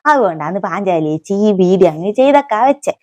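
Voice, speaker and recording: A woman's voice: medium pitch, animated, fast, a little mellow, soft background music, close to an everyday voice. Woman playing a chatty Kannur village auntie vlogger. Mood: chatty, explaining. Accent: Kannur, north Kerala. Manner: folksy, rambling, addresses viewers fondly as children. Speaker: comic vlogger character. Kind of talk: comic mock vlog to camera.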